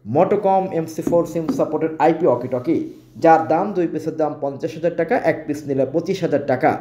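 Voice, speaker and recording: A man with a Bangladeshi accent presenting products in an informal sales pitch, often repeating and restating his phrases. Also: fairly quick, noisy background. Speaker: shop presenter selling products.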